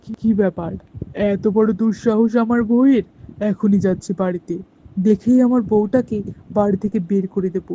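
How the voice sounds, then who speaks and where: A woman's voice: mid-range voice, moderate pace, dark tone, slight background noise, pitched below the performer's own voice, close to an everyday voice. A woman voicing a stern farmer father in a cartoon story, speaking with indignant outrage. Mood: angry. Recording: dull lo-fi sound.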